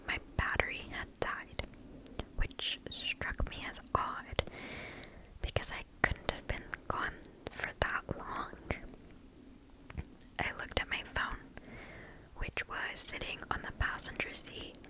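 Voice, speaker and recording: A woman whispers right up against the microphone in a soft, breathy voice. She reads a story aloud in ASMR style at a very slow, deliberate pace, leaving pauses between phrases. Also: low-quality recording. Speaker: ASMR host reading stories aloud. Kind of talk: ASMR reading of first-person scary stories. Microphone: very close microphone.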